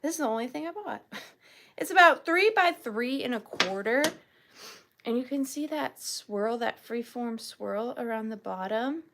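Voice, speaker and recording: Lady selling vintage stuff on a live stream, slow and soft, kinda high voice. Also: animated, a little hiss or hum. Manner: soft, slow and chatty, drifting into whispers. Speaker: live sale host. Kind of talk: live auction selling chat. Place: home studio with ring light.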